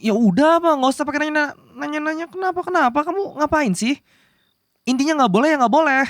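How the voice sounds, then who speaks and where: A man playing a young demon prince in a romantic ASMR roleplay, soft-hearted but bossy, with flustered, possessive tsundere manners. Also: extremely high pitch, expressive, fast talker, dry recording, studio quality. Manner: stammering, flustered denials, possessive commands.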